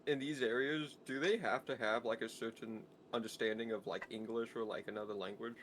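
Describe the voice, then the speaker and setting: Male co-pilot guest, curious and joking, mid-pitched, casual. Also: steady tone, fairly quick, a little hiss or hum, rough recording. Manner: curious, asks questions, joking. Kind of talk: casual chat.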